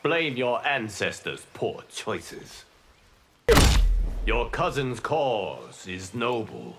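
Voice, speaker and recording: An actor playing a calm, wise, admonishing god in a video game, speaking in measured, sententious lines. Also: male voice, mid-range voice, gravelly, very noisy, exaggerated voice. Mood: solemn. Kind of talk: video game character dialogue.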